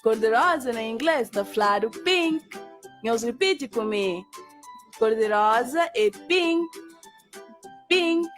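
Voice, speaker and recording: A patient, cheerful cartoon schoolteacher acted by a woman with a Cape Verdean accent and a fairly high voice. She gives instructions very slowly, with encouraging questions for young children. Light children's music plays underneath. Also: animated. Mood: encouraging, instructive. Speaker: teacher. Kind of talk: scripted children's language lesson.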